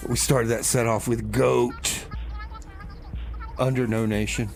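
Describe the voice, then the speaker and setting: A man's voice: medium pitch, little pitch movement, slow, vocal fry. A man hosting a community radio music show in a casual, informal way, with bits of comic banter. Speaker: radio host. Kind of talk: music show presenting with improvised comedy banter.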